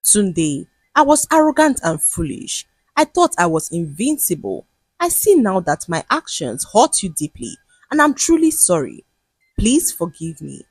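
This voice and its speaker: A woman's voice: fairly low pitch, big pitch swings, measured pace, husky, no echo, full character voice. A woman voicing a male charmer in a folktale, earnest and emotional. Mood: remorseful, pleading. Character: arrogant charmer turned remorseful, pleading man.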